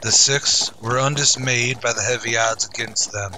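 A man's voice: medium pitch, steady tone, moderate pace, bright, forceful tone, rough recording. Casting: male narrator for old-fashioned western radio serial; mid-range voice, solemn and grand, faint noise behind. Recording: faint background noise, ambience.

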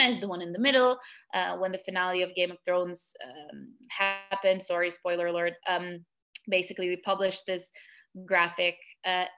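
A woman's voice: medium pitch, sharp tone. Young woman giving a casual webinar talk. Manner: casual and conversational, many fillers and restarts. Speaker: webinar presenter. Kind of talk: webinar talk over slides. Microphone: video call webcam.

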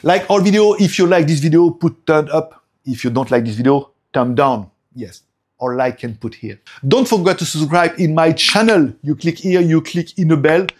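A man from France with a strong French accent, native French, speaking English in a loose, broken way. His voice sits a little high for a man, and he chuckles between phrases while reviewing tech gear. He sounds like a friendly home vlogger. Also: normal speed. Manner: lively, laughs often, broken English. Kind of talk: casual product review.

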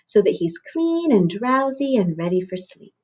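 A woman voicing a gentle, patient mother polar bear in a storybook, soft, soothing and unhurried. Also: mid-range voice, big pitch swings, a little hiss or hum, lightly acted.

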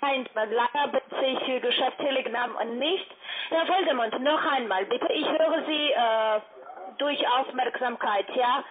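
A high-pitched woman with a foreign accent works through a sales call. Her phrasing is formal and halting, as a non-native speaker. She comes through a narrow, tinny phone line. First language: not German. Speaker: telephone sales account manager. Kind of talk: scripted cold-call sales talk.

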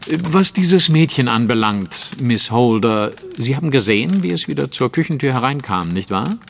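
An actor playing a courteous, self-assured detective with a formal, probing way of speaking, in a German audio drama. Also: male voice, medium pitch, animated, moderate pace, gravelly, in a large hall, a little hiss or hum. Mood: brisk, assured.

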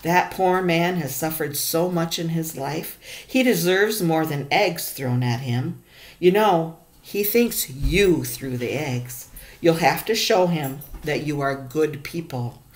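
Gentle grandmother, as a woman voices her. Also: low voice, takes it slowly. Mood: tender.

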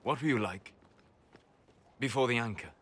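Man acting measured, scholarly elven mage, noisy ambience. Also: mid-range voice, fairly bright tone, lightly acted. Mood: earnest, thoughtful. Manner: measured, deliberate, formal phrasing with pauses. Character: calm, scholarly, reserved elven mage, admiring yet condescending. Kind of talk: video game dialogue acting.

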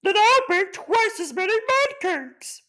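A slow-witted, eager lady warthog from a children's book, put on by a man. The voice is very high and swoopy, with an excitable, naive manner. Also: full character voice. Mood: eager, puzzled. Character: dim, eager female warthog in a storybook.

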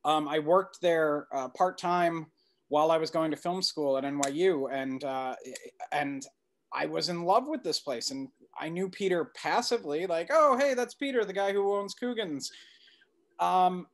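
Male, middle-aged, slightly high voice, film director guest recounting his project on a video call. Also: a little slow. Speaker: documentary director, interview guest. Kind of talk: conversational interview answers, storytelling. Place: indoor room at home, video call.

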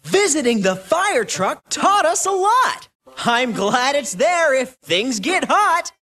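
A man voicing an upbeat young cartoon rabbit who guides children through an educational game, bouncy, encouraging and clearly enunciated. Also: extremely high pitch, very animated, slow pace, sharp tone, heavily acted. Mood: cheerful, eager. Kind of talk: animated educational game voice acting.

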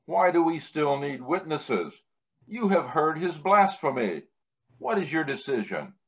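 A man reading the part of an indignant, interrogating high priest in an amateur church dramatic reading. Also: big pitch swings, a little slow.

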